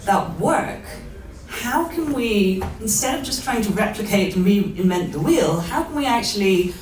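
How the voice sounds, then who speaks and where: A woman's voice: mid-range voice, lively intonation, a little slow. A middle-aged woman from southern India, a charity chief executive, putting a considered question from the floor at a panel event. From Chennai, India. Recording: echoey room, distant mic, background noise.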